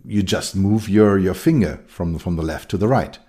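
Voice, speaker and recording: A middle-aged man with a German accent talks through his ideas on his own. His voice sits very low and sounds mellow. He pauses, repeats words and fills gaps with ums. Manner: thoughtful, with many fillers and restarts. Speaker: consultant and keynote speaker. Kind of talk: solo talk on design.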